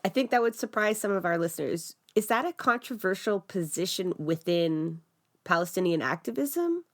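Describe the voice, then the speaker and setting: A woman's voice: fairly low pitch, animated, moderate pace. A woman hosting an interview, asking questions in a conversational way and thinking aloud as she goes. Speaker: podcast host.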